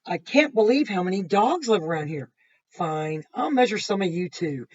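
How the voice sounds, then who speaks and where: A woman reading aloud as an eager schoolgirl who chatters to her dogs in a storybook, with an expressive delivery. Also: fairly low pitch, normal speed, muffled recording. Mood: eager, bossy. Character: eager schoolgirl measuring her dog for homework.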